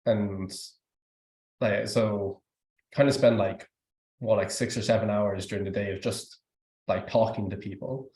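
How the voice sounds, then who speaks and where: A man's voice: lowish voice, fairly even intonation, measured pace, long pauses, darkish tone. A young man with an Irish accent giving a rambling, informal testimony at a youth service, full of fillers and restarts. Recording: echoey room.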